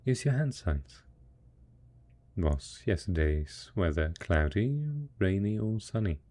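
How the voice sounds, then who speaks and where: Guy playing a patient loving husband in a zombie audio drama, low mellow voice, talks real slow and coaxing like to a little kid. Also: expressive, heavily acted. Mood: gentle, coaxing. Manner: slow, coaxing, hesitant, talking as if to a child. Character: devoted, patient husband tending zombie spouse after apocalypse. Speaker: audio roleplay voice actor. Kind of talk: intimate scripted audio roleplay.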